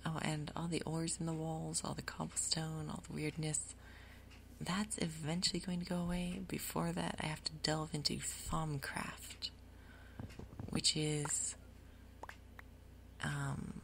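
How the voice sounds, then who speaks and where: A woman gaming host giving soft, whispery ASMR commentary, casually thinking aloud in an unhurried way. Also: deep voice, background noise. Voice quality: soft, whispery, breathy undertone. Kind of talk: soft-spoken ASMR Minecraft gameplay commentary.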